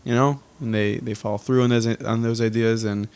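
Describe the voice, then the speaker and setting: A man's voice: mid-range voice, fairly even intonation, a little fast, a little mellow, smooth. A man co-hosting an interview, friendly and teasing as he asks questions. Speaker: podcast co-host. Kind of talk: casual interview chat.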